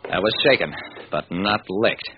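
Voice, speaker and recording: A man's voice: low-pitched, moderate pace, over loud music, close to an everyday voice. A man playing a wisecracking, stubborn private detective, narrating his own case in an old-time radio drama. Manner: wry, punchy storytelling. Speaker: narrator and lead character. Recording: noisy, dull old radio recording.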